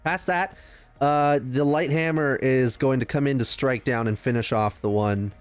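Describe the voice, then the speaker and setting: A man's voice: medium pitch, moderate pace, smooth. A man chatting casually as a player in a tabletop roleplaying game, thinking aloud as he goes. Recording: music under the voice.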